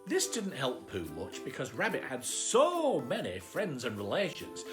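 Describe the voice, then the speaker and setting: A woman's voice: a bass voice, wildly swooping pitch, a little slow, over music. A woman narrating a children's storybook as a bedtime storyteller.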